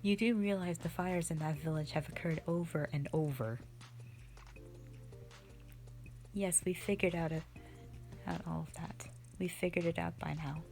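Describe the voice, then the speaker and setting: A woman playing a strict, commanding mother who leads a warrior band, speaking sternly and deliberately in a roleplay audio drama addressed to the listener. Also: on the low side, vocal fry, a little mellow, soft background music. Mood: insistent, stern. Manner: stern, deliberate, halting delivery with sighs.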